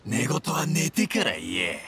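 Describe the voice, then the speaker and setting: A sneering thug from a video game. He taunts in rough speech, somewhat high and hugely animated, with clear rumbling noise behind him. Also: a little slow, heavily acted. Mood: mocking. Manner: mocking, taunting, rough-spoken. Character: sneering, cocky bandit thug.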